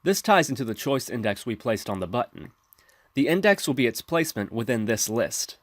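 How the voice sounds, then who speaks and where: A man's voice: mid-range voice, big pitch swings, a little fast, no echo. Man narrating a tutorial, dry close sound. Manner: instructional, step-by-step. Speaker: tutorial presenter.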